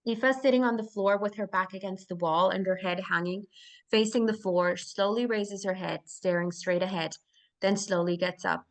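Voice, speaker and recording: A woman's voice: medium pitch, steady tone, moderate pace, poor audio. A woman reading scene directions as narrator at a live screenplay table read.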